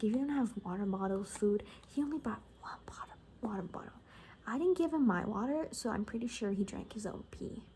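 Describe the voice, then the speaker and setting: A woman doing a soft-spoken ASMR roleplay as a sassy, gossipy apocalypse survivor, chatting casually and sarcastically and often dropping to a whisper. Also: mid-range voice, slight background noise.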